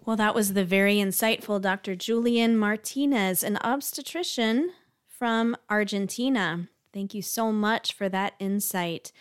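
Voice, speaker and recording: A woman's voice: mid-range voice, measured pace, smooth. A woman hosting a podcast interview, asking questions in a friendly, curious way. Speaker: podcast host.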